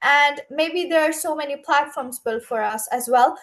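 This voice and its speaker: High-pitched young woman, earnest speaker. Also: normal speed, fairly bright tone. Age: young adult. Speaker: youth speaker at an online summit. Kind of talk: impassioned panel remarks.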